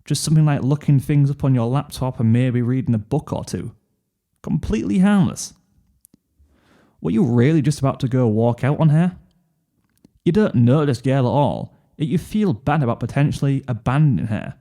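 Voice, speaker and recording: A man's voice: medium pitch, lively intonation, normal speed, mellow. A man narrating a second-person fan fiction story.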